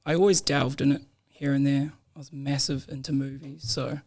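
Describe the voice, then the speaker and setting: A man's voice: medium pitch, normal speed. A young man with a New Zealand accent, a guest telling stories in a casual podcast chat, laughing often. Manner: laughs often, relaxed storytelling with slang. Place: podcast room.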